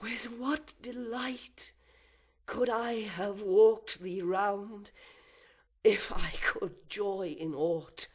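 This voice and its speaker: Fallen angel acted by a male reader for epic verse: raised pitch, impassioned, grandly eloquent, anguished, envious. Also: fairly even intonation, extremely slow, heavily acted. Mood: aching longing. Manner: impassioned, declamatory lament. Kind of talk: classical verse dramatic reading.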